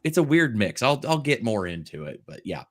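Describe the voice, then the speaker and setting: A man with a fairly low voice talks casually as a stream co-host. He feels his way through sentences in a halting, hesitant manner. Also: big pitch swings. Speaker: stream co-host, video creator. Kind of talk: casual chat.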